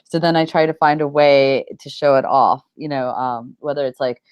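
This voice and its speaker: Low-pitched middle-aged woman, chatty interviewee. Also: steady tone, normal speed, a little mellow, smooth, dry recording, highs cut off. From United States. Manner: rambling, informal, frequent "like" and "you know". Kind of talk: casual interview chat.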